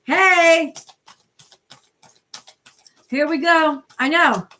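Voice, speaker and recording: A woman from the New York City area with a hoarse voice, chatting casually and swearing freely as she reads tarot cards live for viewers. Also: high voice, extremely slow, sharp tone. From New York City area, USA. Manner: chatty, profane, greets viewers by name. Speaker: tarot card reader hosting a livestream. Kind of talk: casual live-stream chat.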